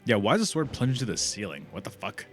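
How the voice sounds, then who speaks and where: A man's voice: lowish voice, big pitch swings, fast. A man giving casual, crude and slangy live commentary while streaming a video game. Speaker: livestreamer playing a video game. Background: game music.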